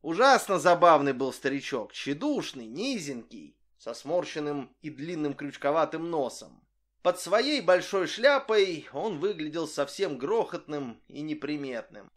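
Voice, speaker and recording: A man reading an audiobook narration in a calm, even voice. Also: a little high, a little slow. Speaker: audiobook reader.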